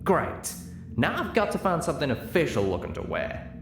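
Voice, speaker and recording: A man's voice: a little high, huge pitch swings, normal speed, gravelly, reverberant, over music, pitched above the performer's own voice, exaggerated voice. A man voicing an older cartoon-style pony mare in an audiobook, a folksy, emphatic country boss, strained with fury and heartbreak. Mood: angry, determined.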